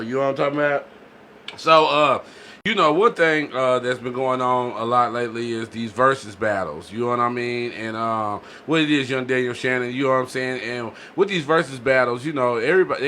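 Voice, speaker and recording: A man's voice: medium pitch, bright, forceful tone, slight background noise. A man hosting a casual podcast debate, speaking in slang with firm opinions and frequent "you know" tags. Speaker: podcast host.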